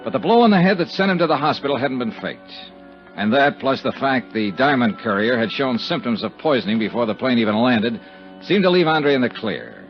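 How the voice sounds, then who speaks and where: An actor playing a tough, wry investigator hero with a clipped, hard-boiled manner in a 1950s radio drama. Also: male voice, medium pitch, sing-song, fairly quick, soft background music. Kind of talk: 1950s radio drama acting and first-person narration. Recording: muffled, noisy 1950s radio recording.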